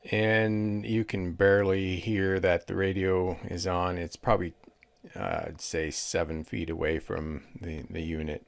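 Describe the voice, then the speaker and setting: A man's voice: sits low, level tone, a little slow, creaky, slight background noise. A man giving a casual product demonstration as a reviewer, explaining things in a plain-spoken, hesitant way.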